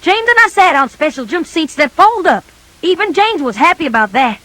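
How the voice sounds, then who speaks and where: A woman reading a scripted children's story in a folksy, animated storybook manner. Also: extremely high pitch, fairly quick, no echo.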